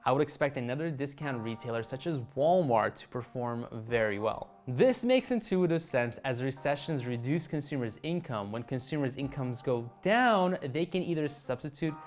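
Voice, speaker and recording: A young man whose first language is Russian, hosting an investing video with upbeat talk and joking asides to viewers. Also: mid-range voice. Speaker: investing channel host.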